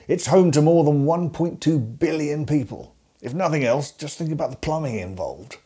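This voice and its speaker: A man from England delivers scripted comic narration with a dry, deadpan wit. His voice is mellow and relaxed, and the tone stays wry. He speaks close to the camera in a room at home. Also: medium pitch, husky. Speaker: host. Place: indoors, seated at home. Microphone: facing camera at close range.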